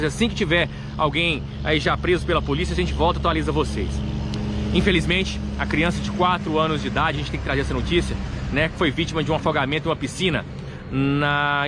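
A man from gives a live local news update beside a highway at night, talking earnestly into a phone camera held at arm's length and leaning on tag words like "né" and "tá". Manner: earnest, repetitive, tag questions "né" and "tá". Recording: faint traffic noise outdoors.

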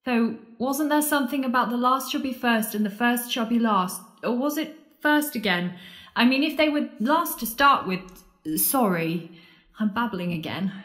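A woman's voice: a little high, expressive, normal speed, fairly bright tone. A woman playing a sardonic, frightened penitent at the world's end in an audio drama, babbling and joking wryly out of nerves. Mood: scared, wry. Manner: babbling, wry, joking nervously. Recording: echoey room.

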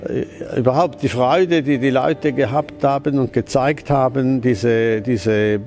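A man's voice: mid-range voice, steady tone, measured pace, a little mellow, soft background music. An older man, answering an interview in a museum hall, searching for words with many restarts. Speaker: museum founder.

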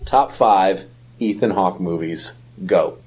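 Low, husky male podcast co-host. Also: slow pace, a little mellow, low-quality recording. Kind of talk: casual chat.

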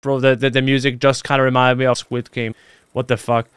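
A man's voice: medium pitch, little pitch movement, brisk, a bit bright, clear, resonant tone, no room sound. A young man giving casual, exclamatory, sweary reaction commentary into a close desk microphone in a home gaming room. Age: young adult. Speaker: YouTube reaction host. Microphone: close desk microphone on boom arm.

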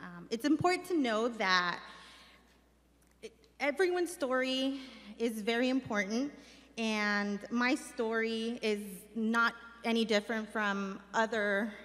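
A woman's voice: fairly high pitch, unhurried, fairly bright tone. A young woman from Mexico, a community organizer, earnestly reading a personal speech from notes at a stand mic on a large stage. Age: young adult. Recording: stage mic with long hall reverb.

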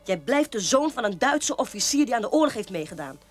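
An actress playing a mocking, sharp-tongued sister in a radio play, needling and scornful. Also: female voice, a little high, big pitch swings, a little fast, soft background music. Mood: scolding, insistent.

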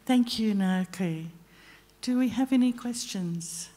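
A woman's voice: medium pitch, slow pace, mellow, slight background noise. A woman moderating audience questions as the chair of a conference session.

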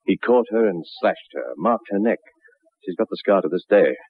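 A shaken drinker telling a grisly tale in 1960s radio drama style. His low voice is husky and moves dramatically up and down. The old recording is muffled. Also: expressive, normal speed, dry recording, exaggerated voice. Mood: grim, agitated. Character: shaken man recounting a grisly horror tale over whiskey. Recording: muffled vintage radio recording, narrow band.

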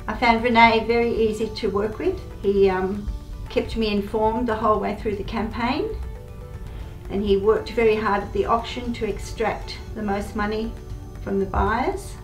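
A woman's voice: mid-range voice, fairly even intonation. An older woman with an Australian accent, a home seller, speaking sincerely and steadily in a testimonial at home. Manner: measured, sincere. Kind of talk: calm testimonial. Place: indoors at home. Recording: echoey room, distant, soft music.

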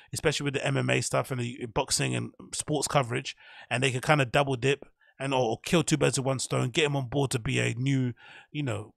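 A man talks through his theory in a loose, meandering way, often correcting himself as he goes. His voice has a gravelly edge. The sound is dry and close, with no room around it. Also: medium pitch, a bit bright, dry recording. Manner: rambling, self-correcting, opinionated. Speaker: podcast host. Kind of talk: casual commentary monologue.

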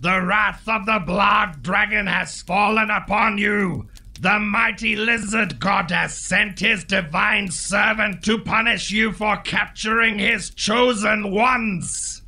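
Furious dragon idol: high, creaky, grandiose storybook menace. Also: male voice, slow pace, sharp tone, full character voice. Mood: menacing. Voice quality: raspy, creaky. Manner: grandiose, commanding. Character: furious dragon puppet, booming servant of a lizard god.

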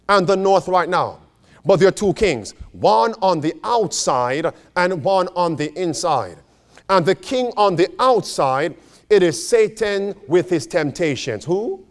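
A man preaching a sermon as a pastor, repeating phrases for emphasis and putting questions to his listeners. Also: high voice. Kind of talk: sermon with scripture and quotations read aloud.

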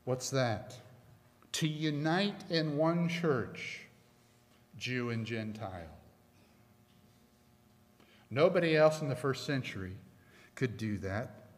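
Slow, emphatic middle-aged male preacher. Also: medium pitch. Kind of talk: sermon. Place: church sanctuary, at a pulpit. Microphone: pulpit microphone. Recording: long church reverb.

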